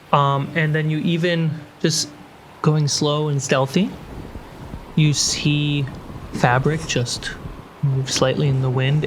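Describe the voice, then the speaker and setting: A man narrating a tabletop role-playing game as game master, describing scenes in short phrases with pauses. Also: a little high, slight background noise, low-quality recording. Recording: prominent music bed behind voice. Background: background music score.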